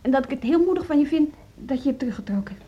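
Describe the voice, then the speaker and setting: An actress playing a hesitant, earnest woman music teacher in a naturalistic radio drama, speaking haltingly. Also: high voice, lively intonation, lo-fi sound, a little hiss or hum. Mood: earnest, subdued.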